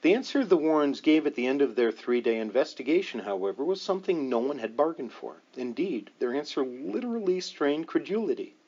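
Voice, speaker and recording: A man's voice: fairly high pitch, big pitch swings, a little fast, hardly any pauses, darkish tone, lo-fi sound. A man reading a paranormal book aloud as scripted narration, with a dramatic storytelling delivery. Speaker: audiobook reader.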